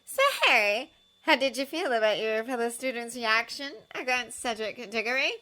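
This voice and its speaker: A woman's voice: fairly high pitch, moderate pace, bright, forceful tone, pitched above the performer's own voice. A woman voicing a sly, pushy middle-aged female reporter with a syrupy manner.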